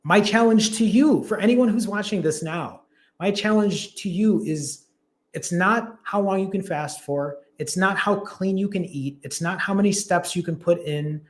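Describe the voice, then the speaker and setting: Man, fasting coach, casual live-stream chat, slightly high voice, upbeat and encouraging. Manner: talks straight to viewers, upbeat and encouraging.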